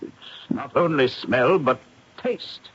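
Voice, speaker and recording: An actor playing a middle-aged, earnest, haunted knight of a country house in a 1970s radio drama, grave and formal. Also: male voice, mid-range voice, expressive, slow pace, a bit bright, husky, heavily acted. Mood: dread. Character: haunted, earnest titled gentleman host, indignant and fearful. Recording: muffled vintage radio drama recording.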